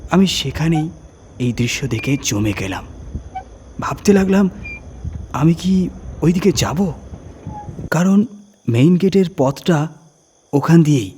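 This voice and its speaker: A man with a husky voice, narrating a scary first-person story with suspenseful, deliberate phrasing and dramatic emphasis. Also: on the high side, animated, a little mellow, a little hiss or hum.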